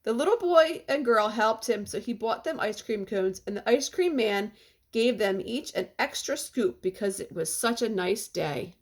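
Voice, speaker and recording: Higher-pitched woman reading a storybook aloud. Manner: deliberate, expressive, slows for refrains. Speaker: teacher reading a picture book aloud. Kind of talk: storybook read-aloud for young children.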